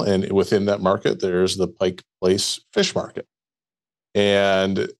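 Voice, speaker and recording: A man with a Midwestern accent co-hosting a legal podcast, measured as he explains a case. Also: deep voice. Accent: Midwestern American. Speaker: podcast co-host, lawyer. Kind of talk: casual legal podcast chat.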